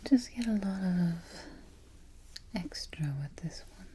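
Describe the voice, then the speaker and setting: Woman playing a whispering, unhurried evil queen. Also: medium pitch, lively intonation, mellow, a little hiss or hum. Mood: calm, fond. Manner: unhurried, exacting, politely imperious. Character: prim, haughty evil queen instructing her new helper. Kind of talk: soft-spoken whispered ASMR roleplay. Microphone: close ASMR microphone.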